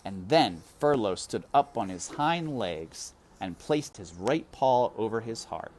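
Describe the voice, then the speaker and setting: A narrator reading a children's story aloud with deliberate, dramatic phrasing. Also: medium pitch, animated, darkish tone, a little hiss or hum. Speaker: storybook reader. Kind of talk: expressive scripted narration, children's read-aloud.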